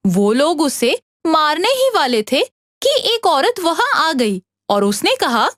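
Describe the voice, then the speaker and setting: A woman reading scripted narration for an animated children's story. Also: sits high, slow pace, no echo, clean studio sound.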